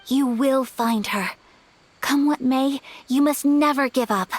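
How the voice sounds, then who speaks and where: An actress playing a gentle, polite, earnest young noblewoman in a video game, speaking softly and tenderly. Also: sits high, slow. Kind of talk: English video game voice acting. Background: game music.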